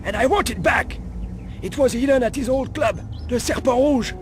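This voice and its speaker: Very high-pitched shifty old informant. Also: male voice, normal speed, faint music behind. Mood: sly, aggrieved. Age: older. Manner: wary, bargaining, grumbling. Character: shifty, grudging old male underworld informant. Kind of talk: video game cutscene acting.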